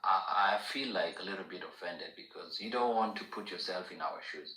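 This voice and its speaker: A man from Ethiopia pushes to make his point in a heated online debate. His voice sits low but sounds bright and forceful. The remote audio has a roomy echo. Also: fairly quick. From Ethiopia (Gambela). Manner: insistent, keeps asking to finish his point. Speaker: participant in a debate. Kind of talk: heated discussion.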